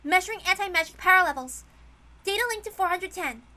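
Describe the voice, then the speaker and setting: A woman's voice: very high voice, lively intonation, fast talker, sharp tone, pitched above the performer's own voice, full character voice. A woman voicing an eager magical servant girl who reports targets in clipped military style. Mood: businesslike, alert.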